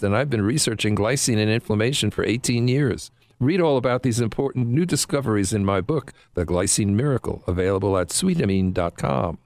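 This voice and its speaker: A man's voice: medium pitch, a little mellow, no echo. A middle-aged or older man, a researcher reading a scripted supplement advert. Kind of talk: scripted radio ad read.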